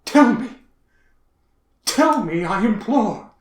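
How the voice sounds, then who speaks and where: Guy playing a tormented grieving poet, slow dramatic recital w long pauses, pretty echoey room. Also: sits high, lively intonation. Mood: anguished, pleading. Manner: theatrical, declamatory, with long pauses. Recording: roomy, echoing space.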